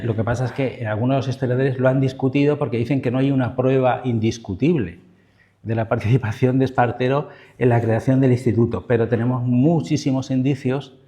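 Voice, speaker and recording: A man's voice: mid-range voice, fairly even intonation, moderate pace, dark tone. A man with an accent from Spain giving a history lecture as a professor, in a discursive, semi-improvised way with asides and checking tags. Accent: Spain Spanish.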